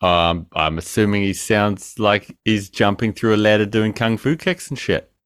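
A man's voice: lowish voice, moderate pace, smooth, no room sound, studio quality. A man co-hosting a podcast by remote call, joking crudely and swinging between mumbling and exclaiming. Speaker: podcast co-host. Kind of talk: casual podcast banter. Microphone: remote call mic.

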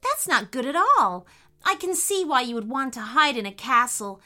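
A friendly, curious young girl in a children's tale, voiced by a grown woman. She sounds caring, with a high, bright voice and lively intonation. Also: moderate pace, exaggerated voice. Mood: concerned.

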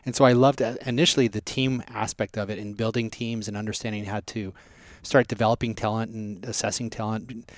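A man from Southern California raised in Las Vegas, a restaurant group president answering interview questions in a measured way with frequent restarts. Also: fairly low pitch, fairly even intonation, no echo, clean studio sound.

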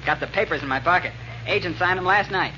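A man acts a chipper young ex-serviceman in an old radio drama. His voice is high for a man. The recording is muffled and clearly noisy. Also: quick pace, close to an everyday voice. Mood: cheerful. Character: cheerful, eager young ex-soldier, new farm owner. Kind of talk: 1940s radio acting.

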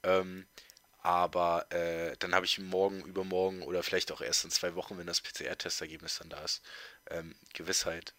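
A man's voice: sits low, flat delivery, normal speed, bright, forceful tone, no echo. A teenage boy co-hosting a casual podcast chat, congested with a heavy cold, rambling with lots of fillers and restarts. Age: teens. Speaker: podcast co-host.

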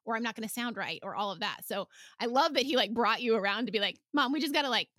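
A woman's voice: a little high, fast talker, fairly bright tone, no room sound, studio quality. A woman hosting a podcast, chatting casually with chatty, affirming reactions. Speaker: podcast host. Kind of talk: casual chat.

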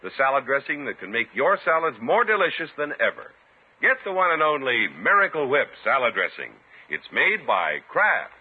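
A man's voice: mid-range voice, big pitch swings, normal speed, noisy background. A man announcing a 1950s radio show and its sponsor with polished, emphatic scripted delivery. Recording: tinny 1950s radio recording with music.

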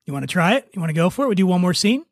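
A man's voice: sits high, big pitch swings, very fast, dry recording. A man hosting a podcast, chatting casually and directing a script reading. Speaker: podcast host, writer.

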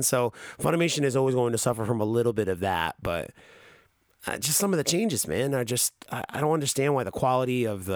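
A man's voice: medium pitch, no room sound, studio quality. A man talking casually on a podcast, rambling and cursing, with many fillers and restarts. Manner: rambling, profane, frequent fillers. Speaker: podcast co-host.

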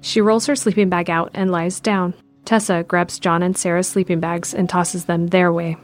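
A woman's voice: on the low side, little pitch movement, normal speed. A woman reading scripted narration for an audio drama. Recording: music behind the voice.

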